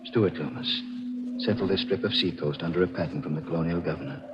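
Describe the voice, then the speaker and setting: A man plays a grave, courteous uncle in a vintage radio ghost drama. The voice is very deep and measured. The recording is muffled and clearly noisy. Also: natural voice. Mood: solemn. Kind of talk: 1940s radio acting.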